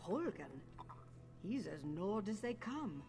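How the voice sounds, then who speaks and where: A woman playing a wry, knowing lore-keeper of a fantasy game guild, telling tales in a deliberate way. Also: medium pitch, sing-song, darkish tone. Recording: game music underneath.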